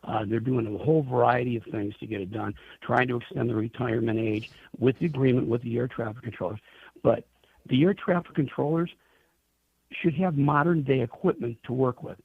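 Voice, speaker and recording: Man, tinny phone-line sound, husky voice, emphatic radio interview guest, persuasive rhetorical questions. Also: medium pitch, steady tone, brisk. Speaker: interview guest, airline trade association chief executive. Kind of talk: radio interview, advocacy answers.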